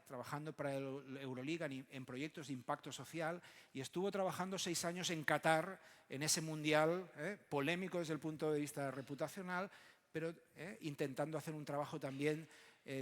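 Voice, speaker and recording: A man from moderates a conference panel, speaking haltingly and often ending on short tag questions. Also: a little high, steady tone. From Barcelona, Spain. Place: large conference room. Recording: echoey hall with long reverb.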